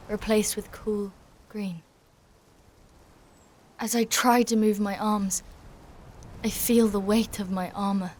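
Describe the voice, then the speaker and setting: A woman playing a fierce, devout warrior knight in a dark fantasy audio drama, narrating with solemn, deliberate intensity. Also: medium pitch. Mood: weary, subdued. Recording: voice over loud dramatic music.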